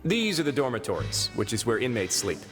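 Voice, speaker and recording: An actor playing a composed, courteous prison warden in a video game, explaining things formally and with dry authority. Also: male voice, mid-range voice, big pitch swings, natural voice. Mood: matter-of-fact. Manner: measured, formal, matter-of-fact explaining. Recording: game music behind.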